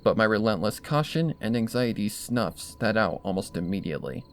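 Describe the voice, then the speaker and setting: A man reading a scripted story aloud as narrator, in an expressive style. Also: fairly low pitch, normal speed, soft background music. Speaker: fan fiction reader.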